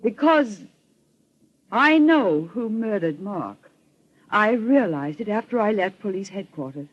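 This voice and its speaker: A woman's voice: medium pitch, expressive, measured pace. An actress playing a poised, accusing society woman in a 1940s radio melodrama, formal and emphatic. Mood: insistent, accusing. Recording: muffled old radio recording.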